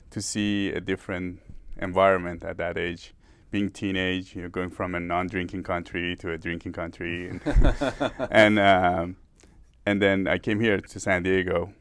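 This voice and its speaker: Deep-voiced male CEO from Iran, earnest with frequent restarts, dry close sound. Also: gravelly, a bit bright, no echo. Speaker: company CEO guest. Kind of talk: interview talk.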